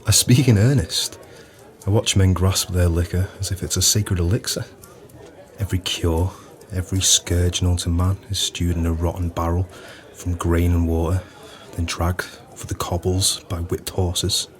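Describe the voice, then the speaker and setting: A very deep-voiced, world-weary publican played by a male actor in an audio drama. He is earnest and philosophical, with solemn, weighty phrasing. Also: flat delivery, moderate pace, close to an everyday voice. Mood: brooding, earnest. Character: gloomy, earnest, widowed publican with a burdened conscience. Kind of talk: audio play acting.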